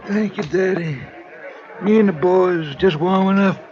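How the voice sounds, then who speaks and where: An actor playing an easygoing, folksy old blind jazz musician in a radio drama, with unhurried jive talk. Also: male voice, sits high, a little mellow, dull audio. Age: older. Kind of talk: 1950s radio acting.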